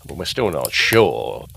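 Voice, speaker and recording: Police detective, lowish voice that moves around a lot, courteous and factual, some noise behind, gothic audio play. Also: male voice, big pitch swings, takes it slowly, creaky, a bit bright, exaggerated voice. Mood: matter-of-fact. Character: polite, methodical male Scotland Yard detective, matter-of-fact. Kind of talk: gothic audio drama acting.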